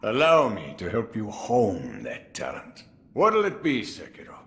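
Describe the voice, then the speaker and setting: An actor playing a gruff old swordsman in a game cutscene, sly and relishing, with sudden loud outbursts. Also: fairly low pitch, huge pitch swings, measured pace, exaggerated voice. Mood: gruff theatrical. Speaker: mentor recruiting the hero. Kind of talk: video game cutscene acting.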